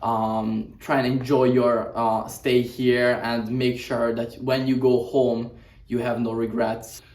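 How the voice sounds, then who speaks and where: A man's voice: mid-range voice, level tone, slow, a little mellow. A young man from Hungary, a boarding school student, giving earnest interview answers with many "uh" fillers. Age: young adult. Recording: echoey room, mic at a distance.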